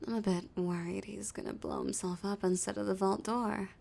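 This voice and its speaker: A woman voicing a flirty, dominant female villain in an intimate audio roleplay, teasing and often dropping into a breathy whisper. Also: medium pitch, steady tone, normal speed, darkish tone. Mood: teasing, confident.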